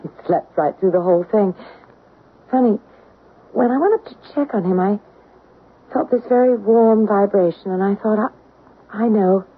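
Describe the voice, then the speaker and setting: A woman's voice: medium pitch, normal speed, long pauses, husky, lightly acted. An actress playing a worried, emotional wife and mother in a 1970s radio drama. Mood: anxious. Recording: tinny 1970s radio broadcast with faint noise.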